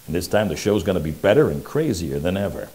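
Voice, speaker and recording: An actor playing a slick, wisecracking 1940s radio private eye, pitching in brash, slangy tough-guy patter. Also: big pitch swings, fast talker, dark tone. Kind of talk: hard-boiled promo narration in old-time radio style. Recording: music under the voice. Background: music.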